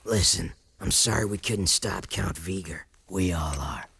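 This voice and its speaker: Gruff low-voiced war commander. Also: male voice, a little slow, pushed and bright, dry recording, full character voice. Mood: weary, dry. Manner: terse military briefing delivery, dry humor. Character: gruff, wry male resistance commander in a war. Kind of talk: video game cutscene acting.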